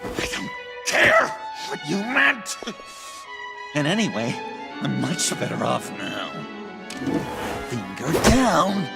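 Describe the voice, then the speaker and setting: An actor playing a manic, gleefully menacing clown villain in a video game, theatrical, taunting and erratic. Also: male voice, fairly high pitch, huge pitch swings, slow, gravelly, pauses often, heavily acted. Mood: angry. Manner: theatrical, taunting, erratic swings from hush to shouting. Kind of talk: dramatic video game acting. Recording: dramatic music under the voice.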